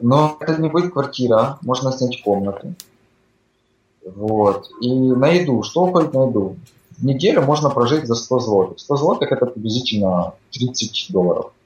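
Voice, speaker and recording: A man's voice: mid-range voice, moderate pace. A man chatting casually as a guest in an interview, explaining at length with lots of fillers. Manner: relaxed, explanatory, with frequent fillers. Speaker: guest living abroad. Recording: echoey dull remote audio.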